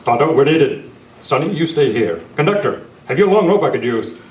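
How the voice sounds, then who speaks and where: A man playing a calm, commanding, courteous masked western hero in an amateur radio play. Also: on the high side, a little fast, highs cut off. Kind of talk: amateur old-time radio western re-enactment. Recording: echoey meeting room, distant mic.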